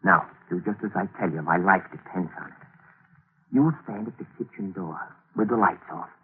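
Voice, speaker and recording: A noisy, narrow-band vintage radio recording carries an actor as a cold-blooded male schemer. His voice is on the low side, terse and commanding, a smooth-talking murderer from a radio thriller. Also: normal speed, close to an everyday voice. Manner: terse, commanding, muttering to himself. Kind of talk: old-time radio thriller acting. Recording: noisy, tinny old radio broadcast recording.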